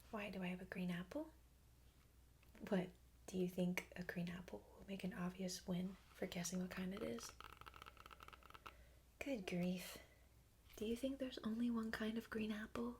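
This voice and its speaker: A woman voicing a bossy, know-it-all cartoon girl in a breathy, soft-spoken ASMR roleplay, close to the microphone. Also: medium pitch, steady tone, normal speed, pauses often, slight background noise, close to an everyday voice. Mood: condescending. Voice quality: breathy whisper.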